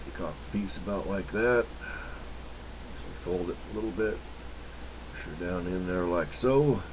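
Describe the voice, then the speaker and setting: A man giving a casual hands-on workbench demonstration, unhurried and half muttering to himself as he works. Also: low-pitched, background noise. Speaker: electronics repair hobbyist, channel host. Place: indoor electronics workbench.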